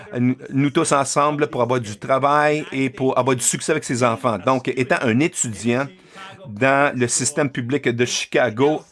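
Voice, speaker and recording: A man giving an interpreted keynote speech to parents and educators. He pauses often, with many filler sounds and restarts. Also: mid-range voice, fairly bright tone. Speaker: keynote speaker.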